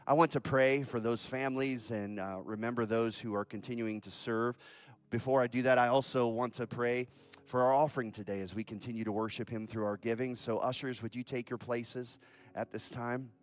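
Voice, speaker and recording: A man's voice: medium pitch, steady tone, moderate pace. A pastor leading his congregation in an earnest, solemn prayer and announcement during a church service.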